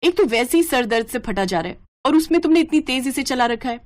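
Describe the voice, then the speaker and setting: A woman voicing a frustrated, sharp-tongued young new bride in a story, complaining and scolding. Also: sits high, lively intonation, a little fast. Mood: angry.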